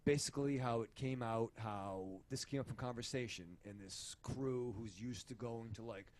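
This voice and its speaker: A man's voice: mid-range voice, moderate pace, a little mellow, slight background noise. A man co-hosting a casual panel podcast, talking about a film in a rambling, crude and profane way. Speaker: podcast co-host reviewing a film.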